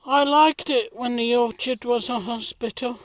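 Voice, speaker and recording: A woman's voice: on the high side, steady tone, a little slow, dry recording, natural voice, low-quality recording. A woman playing a sensitive, earnest young male gardener in an audio play. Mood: admiring.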